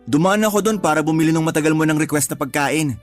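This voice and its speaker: An actor playing a doting, put-upon young tricycle driver in a Tagalog radio drama, pleading and exasperated. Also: male voice, on the high side, fast, faint music behind, close to an everyday voice. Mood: cheerful, doting.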